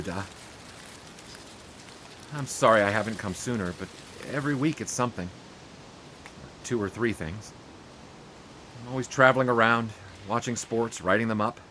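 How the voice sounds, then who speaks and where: An actor playing an earnest young sportswriter in an audio drama, speaking in a halting, hushed, apologetic way. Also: male voice, medium pitch, a little hiss or hum, close to an everyday voice.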